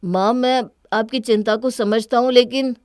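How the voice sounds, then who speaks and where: A woman's voice: on the high side, steady tone, normal speed, a little mellow, smooth, no room sound, lightly acted. Woman voicing a wounded, earnest young husband, dry sound. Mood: guarded, sombre.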